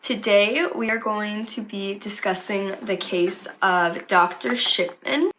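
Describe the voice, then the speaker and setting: A woman's voice: mid-range voice, slow, fairly bright tone, a little hiss or hum, poor audio. A young woman with a slight cold, chatting casually as she tells a true crime story for her channel. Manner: chatty, conversational with fillers. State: has a cold, sniffly.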